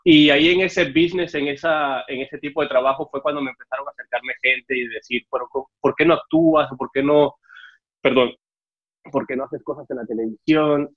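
A young Cuban-born man with a Mexican Spanish accent, telling his story as an interview guest and acting out the dialogue. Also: a little high. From Cuba. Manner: storytelling, acts out dialogue with raised voice. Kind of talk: casual interview chat. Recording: dull muffled audio.

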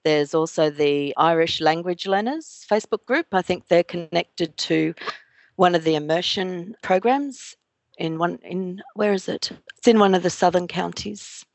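A woman's voice: lowish voice, a little slow, no room sound. Chatty Australian woman, dry sound. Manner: chatty, meandering with fillers. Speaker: guest, Irish language learner. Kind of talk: casual interview chat.

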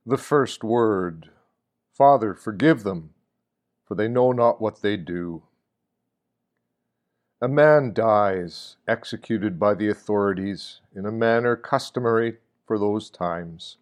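A man reading a scripted, reflective meditation with measured, deliberate phrasing and pauses. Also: lowish voice. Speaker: reader of meditations.

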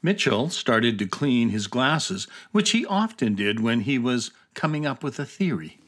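A man's voice: mid-range voice, big pitch swings, unhurried, a bit bright. A man narrating a scripted children's adventure story.

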